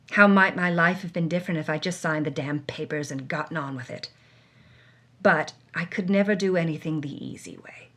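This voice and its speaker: A woman's voice: on the low side, fairly bright tone. An actress playing a hard-bitten, world-weary woman reading her journal with wry, dramatic emphasis, as first-person audio drama narration. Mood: defiant, rattled.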